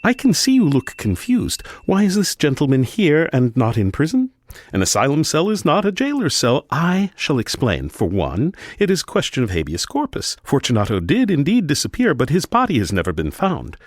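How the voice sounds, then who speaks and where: An actor playing a smug, eccentric asylum doctor in a gothic radio drama, genteel and theatrical. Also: male voice, a little high, wildly swooping pitch, normal speed, no room sound, studio quality. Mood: smug explaining. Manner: theatrical, genteel, gleefully pompous. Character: eccentric, smug asylum doctor showing off his mad patients.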